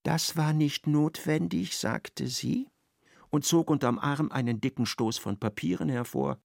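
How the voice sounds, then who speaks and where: A man narrating a literary radio play in a measured, vivid storytelling manner. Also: medium pitch, gravelly, dry recording, clean studio sound.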